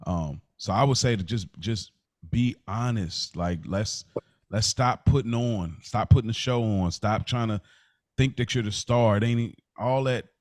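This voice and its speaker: A man's voice: fairly low pitch, a little slow, darkish tone, no echo, clean studio sound. A man hosting a casual podcast conversation, speaking in an informal, slangy way and repeating phrases for emphasis. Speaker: podcast host.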